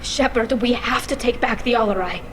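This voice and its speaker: A woman performs a proud young alien crewwoman in a video game. The voice is fairly high, earnest and emotional, over clearly audible ambience. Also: a little fast, slight background noise, close to an everyday voice. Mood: shocked, distressed.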